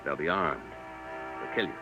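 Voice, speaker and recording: An actor in a mid-century radio drama playing a worried, loyal colleague of the chief, earnest and questioning. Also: male voice, a bass voice, animated, moderate pace, highs cut off, music in the background. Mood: anxious.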